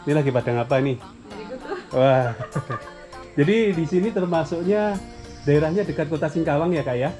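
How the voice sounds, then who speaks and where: A man's voice: fairly high pitch, moderate pace, long pauses, over music. A man from Java working as a vlogger, chatting casually with villagers on location, asking questions and repeating their answers back. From Java, Indonesia.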